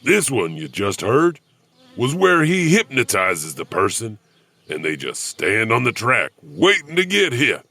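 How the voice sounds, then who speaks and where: A man's voice: medium pitch, wildly swooping pitch, unhurried, pushed and bright, slightly rough, dry recording, exaggerated voice. A man playing a creepy, wisecracking janitor who tells horror stories, with chuckling, self-deprecating asides. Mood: wry, eerie. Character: creepy, wisecracking, no-longer-human janitor storyteller. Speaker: host and storyteller of horror anthology. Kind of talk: scripted horror story narration.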